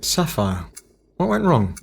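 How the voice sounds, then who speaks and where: A man's voice: medium pitch, huge pitch swings, takes it slowly, vocal fry, background noise, heavily acted. A man playing a stern, dry, impatient investigator in a scripted audio drama, with clipped, sardonic delivery. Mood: stern, terse.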